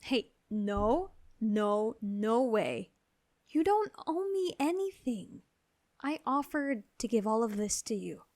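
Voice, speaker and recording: A woman's voice: fairly high pitch, very animated, slow, a little mellow, no room sound, studio quality. A woman playing a supportive, protective aunt in a motion comic, with a reassuring but insistent way of talking. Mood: insistent, generous.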